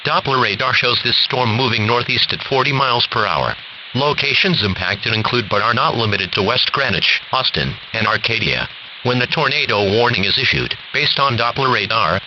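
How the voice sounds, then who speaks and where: A man's voice: mid-range voice, lively intonation, normal speed. A man's synthetic-sounding American voice formally reading automated weather warnings like a radio announcer. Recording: dull noisy weather radio.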